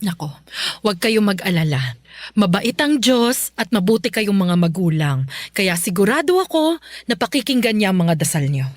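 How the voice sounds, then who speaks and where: A woman's voice: medium pitch, sing-song, normal speed, dry recording, clean studio sound, lightly acted. A woman acting as a fond, kindly middle-aged aunt in a Filipino radio drama, affectionate and comforting.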